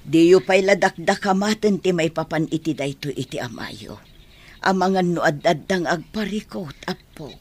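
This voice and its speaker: A woman's voice: fairly low pitch, expressive, normal speed. A woman with an Ilocano Filipino accent playing a caring, worried older mother and grandmother in a radio drama, coaxing and soothing.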